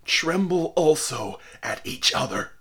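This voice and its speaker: A man's voice: a little high, animated, slow pace, sharp tone, husky, voice pushed up, heavily acted. A man voicing a dying old male clergyman who speaks in a solemn, archaic way. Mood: impassioned.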